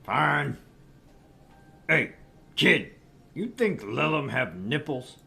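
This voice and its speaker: A man's voice: mid-range voice, sing-song, very slow, gravelly, sharp tone, exaggerated voice. A man voicing a brash, crude, sleazy middle-aged tabloid editor in a visual novel read-through, with a pompous, pushy manner. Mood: crude, musing.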